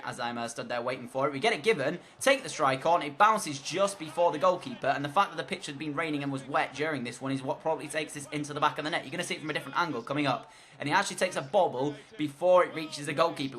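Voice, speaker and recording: A man talking through his football video game match as voice-over, hoarse from losing his voice, rambling in a chatty play-by-play with frequent fillers. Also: a little high, bright, forceful tone. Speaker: gaming commentator.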